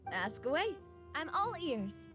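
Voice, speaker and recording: Young female gang leader, game acting, high-pitched, very lively, confident, faint music behind. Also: slow, full character voice. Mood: eager, friendly. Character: confident young female gang boss, breezy and fiercely loyal.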